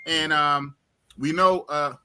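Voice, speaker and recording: A man in his fifties with a bright, ringing voice and a slightly higher pitch than most men. He hosts a casual live stream, animated and repetitive, hyping up his viewers as he goes. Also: takes it slowly, slight background noise. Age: 50s. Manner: animated, shouts out viewers, repeats himself. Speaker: host of a live talk stream. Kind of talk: casual livestream chat.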